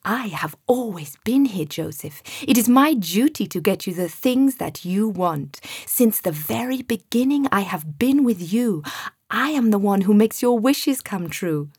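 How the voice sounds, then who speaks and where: A woman voicing a kindly male genie who speaks in a calm, gentle, knowing way. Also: mid-range voice, a little slow, husky, no room sound, studio quality, pitched above the performer's own voice, exaggerated voice.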